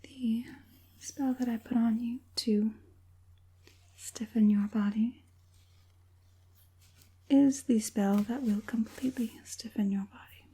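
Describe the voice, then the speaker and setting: Girl doing an evil witch puppeteer, super breathy whisper, soft n dark, sly and coaxing, asmr roleplay. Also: fairly high pitch, steady tone, heavily acted. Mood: calm, controlling. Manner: slow, deliberate, soothingly menacing. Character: evil witch puppet master, sinister, coaxing, falsely caring woman.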